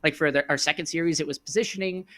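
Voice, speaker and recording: An esports commentator calling live play-by-play in a chatty way that gets animated with the action. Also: mid-range voice, fast talker.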